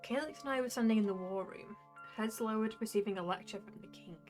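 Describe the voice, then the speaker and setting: A woman narrating a visual novel as a wry, reflective young male jester, in measured storytelling. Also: fairly high pitch, faint music behind, close to an everyday voice. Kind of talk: visual novel first-person narration read aloud.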